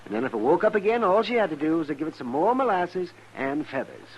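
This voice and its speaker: An actor playing a crotchety, teasing frontier town doctor with a folksy manner in an old-time Western radio drama. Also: male voice, high voice, very animated, fast talker, gravelly, exaggerated voice. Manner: folksy, needling, chuckling old-timer. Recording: dull, slightly noisy vintage radio recording.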